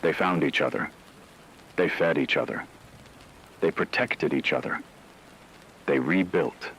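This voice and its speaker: A man playing a solemn radio broadcaster delivering a measured, formal scripted monologue in an apocalyptic radio drama. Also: extremely low pitch, gravelly, fairly bright tone, slight background noise, heavily acted, low-quality recording. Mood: solemn, resolute. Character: grave male radio broadcaster bearing witness to global collapse.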